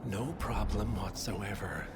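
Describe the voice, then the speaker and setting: Guarded game secretary, very slow, low-pitched, deliberate, wary. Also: male voice. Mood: tense, guarded. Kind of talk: cinematic video game drama. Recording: loud music over the voice.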